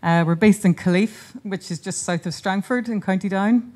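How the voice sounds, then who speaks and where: A woman giving an earnest, informal conference talk in a hotel conference room, often pausing and restarting her sentences. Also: medium pitch, a little mellow. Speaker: conference speaker and seed charity founder. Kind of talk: conference presentation.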